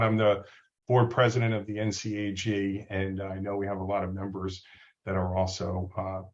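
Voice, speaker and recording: A man speaking as an association's board president in a casual webinar, upbeat and enthusiastic, with frequent ums and uhs. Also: on the low side. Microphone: audio only on a video call. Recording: dull lo-fi call audio.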